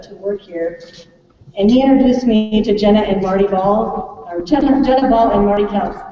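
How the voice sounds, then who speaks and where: An American woman, a teacher, giving an informal, chatty welcome speech and prompting her listeners with questions. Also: mid-range voice. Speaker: third grade teacher presenting a class project. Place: large echoey room at a school event. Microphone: distant microphone. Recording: echoey hall, distant mic, muffled, faint noise.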